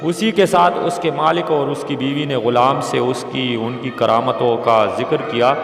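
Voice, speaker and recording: A man narrating a scripted religious moral story in a measured, formal, pious manner. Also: mid-range voice, vocal fry. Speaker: narrator of Islamic moral stories. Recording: echoey with long reverb.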